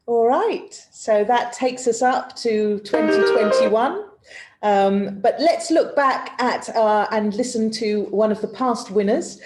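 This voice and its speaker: A woman's voice: fairly high pitch, slow, darkish tone, soft background music. A woman hosting an online design talk on a video call, linking segments with um and uh. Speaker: host of the webinar.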